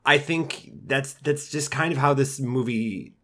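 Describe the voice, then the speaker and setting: A man's voice: medium pitch, measured pace, a bit bright. A man giving a casual, conversational film critique, with frequent fillers and restarts. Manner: conversational, frequent fillers and restarts, mock voices when quoting characters. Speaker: film reviewer. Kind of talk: casual podcast discussion.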